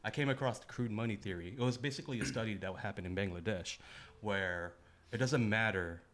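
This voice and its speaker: A man's voice: lowish voice, brisk, a bit bright. A man co-hosting a podcast in casual chat, talking informally with slang and casual swearing. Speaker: podcast co-host.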